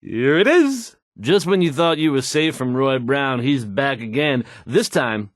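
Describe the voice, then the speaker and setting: A man's voice: mid-range voice, lively intonation, a little slow, no echo, clean studio sound, natural voice. A man playing an awkward, nervous young radio DJ in a video game, stammering, flustered and full of self-doubt as he trails off. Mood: awkward, amused. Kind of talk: scripted game voice acting, halting radio patter.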